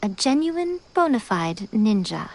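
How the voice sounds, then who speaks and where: A woman voicing a composed, sly young woman in a video game, speaking formally and with measured poise. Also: mid-range voice, very animated, darkish tone, low-quality recording. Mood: composed, assured. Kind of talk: video game character acting.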